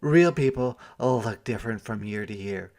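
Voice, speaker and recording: A man's voice: lowish voice, animated, normal speed, a little mellow. Man voicing patient, practical older Missus Claus. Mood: reasoning. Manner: reasoning, questioning.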